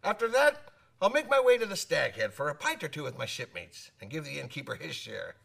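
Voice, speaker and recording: Gravelly, swooping old sailor. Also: male voice, fairly high pitch, very animated, brisk, a bit bright, heavily acted. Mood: hearty. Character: hearty old sailor with a menacing air. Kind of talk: radio drama acting.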